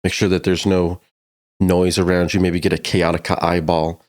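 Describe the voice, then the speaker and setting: A man's voice: sits low, flat delivery, normal speed, darkish tone. A man narrating a casual music production tutorial, explaining things step by step in an informal way.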